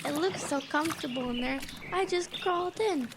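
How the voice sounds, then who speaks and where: A woman's voice: high voice, normal speed, background noise, close to an everyday voice. A young female actor playing a sleepy, guileless small child in an audio drama. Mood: drowsy, curious. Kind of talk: youth audio drama acting.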